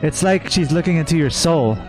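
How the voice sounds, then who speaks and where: A man's voice: a little high, expressive, fairly quick, darkish tone, rough recording. A man doing casual live gameplay reaction commentary as a streamer, playful and joking. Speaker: game streamer. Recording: faint game music behind.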